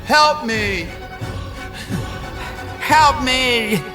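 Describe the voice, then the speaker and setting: A man's voice: very high voice, expressive, drawn-out words, music in the background, pitched above the performer's own voice, exaggerated voice. A man playing a frantic father desperate to save his son in an audio drama. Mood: frantic, pleading.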